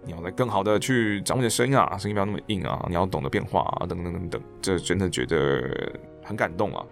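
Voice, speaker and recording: A man's voice: sits low, creaky. A man with a Taiwanese Mandarin accent chatting casually and animatedly as a guest in an interview. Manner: animated, slips into mock quotes to illustrate points. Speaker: interview guest, stage director and voice actor. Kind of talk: casual podcast interview chat.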